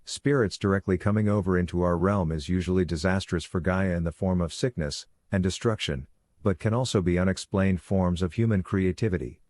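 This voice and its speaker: A man voicing a calm, authoritative werewolf pack leader who lectures in a measured, instructive way for a fantasy audiobook. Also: sits low, no echo, pitched below the performer's own voice, natural voice. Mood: solemn instructive.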